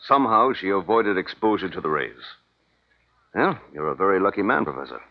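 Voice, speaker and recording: An actor in a 1950s radio drama playing a brisk, authoritative official in charge of decontamination. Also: male voice, low voice, big pitch swings, heavily acted. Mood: baffled. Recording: muffled vintage radio broadcast.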